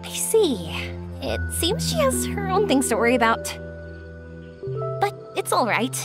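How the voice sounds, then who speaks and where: A woman's voice: high-pitched, wildly swooping pitch, a little slow, full character voice. An actress playing a cheerful, earnest young woman in a video game, speaking expressively with sentiment, over music. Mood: warm, curious. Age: young adult. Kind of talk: video game character dialogue.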